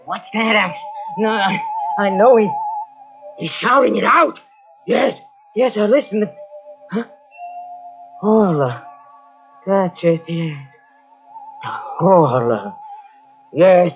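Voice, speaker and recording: An actor playing a haunted Frenchman descending into madness in an old radio horror drama, halting and melodramatic, with repeated broken phrases. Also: high-pitched, animated, vocal fry, soft background music, heavily acted. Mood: frantic. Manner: halting, breathless repetitions, melodramatic. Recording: muffled old radio drama cassette transfer.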